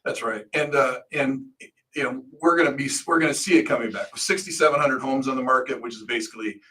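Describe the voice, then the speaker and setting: A middle-aged American man co-hosts in a bright, punchy voice at a medium pitch. He sounds a bit off the mic. He explains things casually, walking through examples and answering his own questions. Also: fast talker, far from the mic, poor audio. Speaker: radio show co-host.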